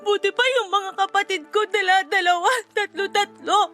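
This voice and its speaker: A woman's voice: very high voice, fairly even intonation, moderate pace, pushed and bright, soft background music. A woman playing an anxious, crying wife who longs for a child in a radio drama, pleading and emotional. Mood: tearful, resentful. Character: tearful, anxious wife longing desperately for a child.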